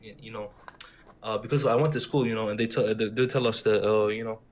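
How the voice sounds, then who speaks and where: Fast-talking young man, slight Arabic accent. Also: on the low side, steady tone. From born in Syria, raised in Detroit area. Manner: rambling, repeats himself, insistent. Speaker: caller. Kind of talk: casual debate call.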